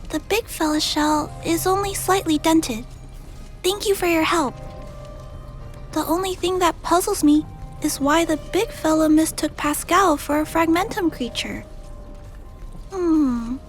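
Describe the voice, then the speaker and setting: A woman voicing a sweet, helpful little girl in a video game, with a light, girlish voice and a polite, earnest manner. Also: very high voice. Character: sweet, gentle, helpful little girl who tinkers with robots. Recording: music behind the voice.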